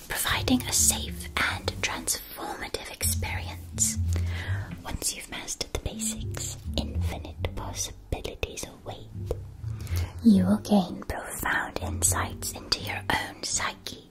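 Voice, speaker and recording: A woman playing a gentle, doting witch bookseller in a whispered ASMR roleplay, with soft breath and deliberate, old-fashioned storybook phrasing. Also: on the high side, lightly acted, low-quality recording. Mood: hushed, reverent.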